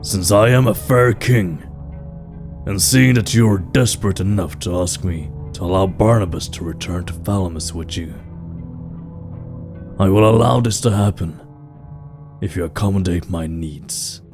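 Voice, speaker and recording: A man's voice: low-pitched, moderate pace. A man playing a proud, grave king of stone folk in a fantasy audio drama, speaking in a stately, formal way. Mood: indignant, haughty. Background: background music.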